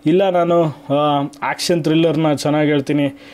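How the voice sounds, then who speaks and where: A man's voice: fairly high pitch, fairly even intonation, moderate pace, darkish tone. A man from Karnataka hosting an online video, explaining things to viewers step by step in a casual, conversational way.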